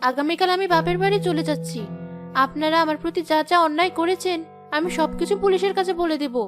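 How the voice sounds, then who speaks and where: A woman's voice: very high-pitched, fast talker. A woman voicing a hardworking, defiant young wife in a cartoon, aggrieved and protesting. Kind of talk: cartoon voice acting. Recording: music behind the voice.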